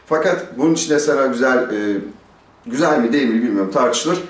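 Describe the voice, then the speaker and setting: A middle-aged man with a medium-pitched voice presents a gear review in a conversational, explanatory style. He puts questions to the listener and answers them himself. The sound is clearly echoey, picked up a little way from the microphone in a stone-walled courtyard. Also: lively intonation, brisk. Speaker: motorcycle gear reviewer, host. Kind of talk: casual explanatory product review to camera. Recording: roomy echo, distant microphone.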